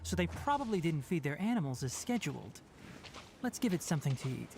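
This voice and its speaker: An actor playing a calm, studious young male forest ranger in an anime-style video game, speaking precisely and with gentle sternness. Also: fairly high pitch, a little fast, vocal fry, very noisy. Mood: calm, observant.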